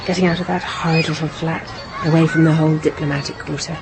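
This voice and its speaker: An actress playing a devoted, gently firm English wife and mother in a radio drama. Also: sits low, little pitch movement, quick pace, poor audio. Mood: brisk, fond.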